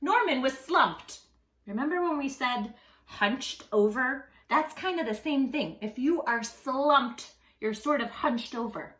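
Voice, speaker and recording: A woman hosting a read-aloud for young children, expressive and emphatic, speaking straight to the listener. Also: high-pitched, a little slow, reverberant. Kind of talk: animated picture-book reading for young children.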